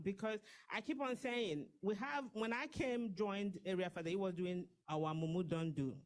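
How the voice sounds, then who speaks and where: A woman's voice: fairly low pitch, a little mellow. A woman in her fifties gives a passionate, persuasive speech to a gathering as a diaspora campaign organizer. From Nigeria. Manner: impassioned, persuasive, pleading.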